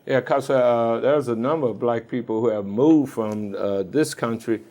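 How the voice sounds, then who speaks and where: A man's voice: mid-range voice, moderate pace, warm tone. A man with an American accent hosting a conversational studio interview. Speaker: talk show host.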